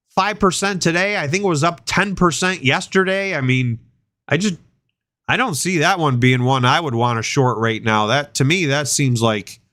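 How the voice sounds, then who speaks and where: A man's voice: fairly high pitch, sharp tone, no room sound, clean studio sound. A man hosting an investing show, congested from a sinus infection, rambling and often repeating words as he thinks aloud. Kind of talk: casual investing chat.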